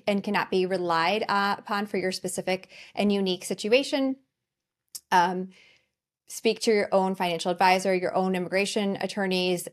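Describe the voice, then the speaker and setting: A middle-aged American woman presenting as the host of a webinar. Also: mid-range voice. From United States. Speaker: webinar host, financial planner.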